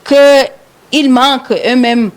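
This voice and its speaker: Rwandan woman, radio host, slightly high voice, halting with lots of fillers. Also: level tone. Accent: Rwandan French. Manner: hesitant, many fillers and repeated words. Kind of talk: live studio talk show.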